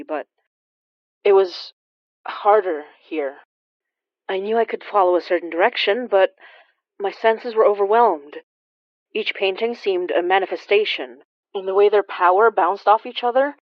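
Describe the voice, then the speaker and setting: A woman playing the grave first-person narrator of a horror audio drama, speaking deliberately with dramatic pauses. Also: lowish voice, no echo, dull audio, lightly acted. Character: grave, watchful woman recounting an eerie supernatural encounter.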